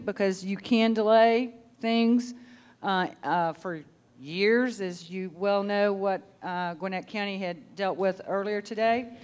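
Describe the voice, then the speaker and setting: A woman commissioner at a conference workshop explains a group decision. She hesitates often and fills pauses with ums. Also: mid-range voice. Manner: hesitant, with many fillers. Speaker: county commissioner, workshop participant. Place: conference room.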